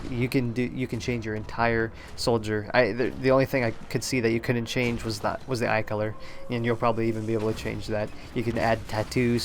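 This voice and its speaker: A slightly hoarse man giving casual solo commentary on a video game reveal, rambling, often correcting himself and admitting he is unsure. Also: medium pitch, slight background noise. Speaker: gaming commentator.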